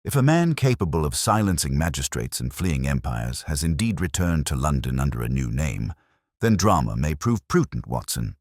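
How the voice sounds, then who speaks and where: Male narrator as grave Victorian detective, extremely low voice, precise and formal, animated delivery, storybook dialogue. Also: normal speed, dry recording, studio quality, full character voice. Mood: dry, watchful. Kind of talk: audiobook character dialogue.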